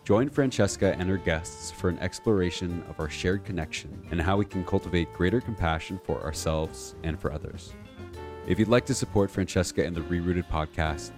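A man reading a scripted podcast introduction in a calm, measured way. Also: low-pitched. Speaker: show announcer. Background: guitar music.

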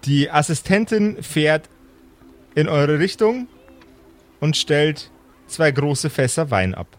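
A man narrating an improvised tabletop game as game master, dramatically, with measured and suspenseful pauses. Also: fairly high pitch, very animated.

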